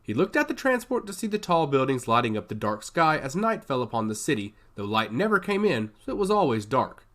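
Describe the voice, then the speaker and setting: A man narrates an action story with theatrical emphasis. His mid-range voice swings widely in pitch, giving the scripted prose a highly animated, dramatic shape. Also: a little fast, few pauses.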